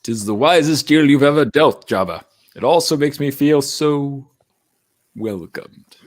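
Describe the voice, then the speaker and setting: A man's voice: medium pitch, expressive, a little slow, natural voice. A man playing a cocky, wheedling military officer and dealmaker, smug and theatrical, at a comedic table read. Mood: smug, bargaining. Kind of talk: comedic live table read of a fan script.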